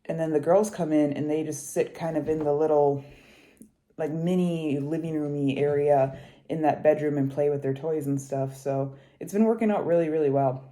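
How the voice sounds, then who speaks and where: A young woman with a stuffy, congested voice talks casually and rambles along. Her voice sits low and mellow. She is recorded at a kitchen table in a house. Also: steady tone, moderate pace. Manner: rambling, conversational, hushed while kids nap. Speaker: cross-stitch vlogger. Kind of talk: casual chatty vlog.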